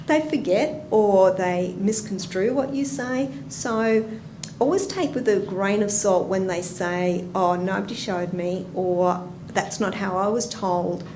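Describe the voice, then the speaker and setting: A woman's voice: mid-range voice, slow, a little mellow. A woman with an Australian accent, a nurse educator giving a chatty, anecdotal conference talk and asking the audience questions. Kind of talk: conference presentation. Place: conference room. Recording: roomy hall with background noise.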